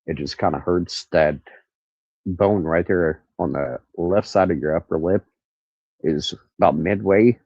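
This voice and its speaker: A man's voice: deep voice, measured pace, a little mellow, breathy edge, dry recording, lo-fi sound. A man with a nasal voice hosting a rambling, casual true crime livestream from an outdoor carport in the country. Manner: rambling, stops to look things up. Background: crickets and cicadas.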